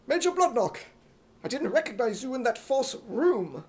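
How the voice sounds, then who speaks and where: A man voicing an earnest, excitable young hero in an imitation British accent for radio comedy. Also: very high voice, moderate pace, husky, full character voice.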